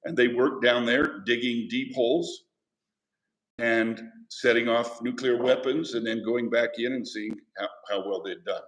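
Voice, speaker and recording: A man's voice: mid-range voice, fairly even intonation, unhurried, fairly bright tone. Male history teacher lecturing in an echoey classroom. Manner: conversational, asks the class questions.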